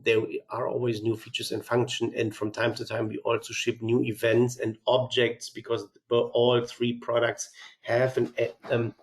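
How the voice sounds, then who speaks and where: Male webinar host from Germany, voice strained by a cold, measured delivery, lots of ums and restarts. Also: medium pitch. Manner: measured, with frequent fillers and restarts. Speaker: webinar host, company CEO. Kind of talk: software product webinar presentation.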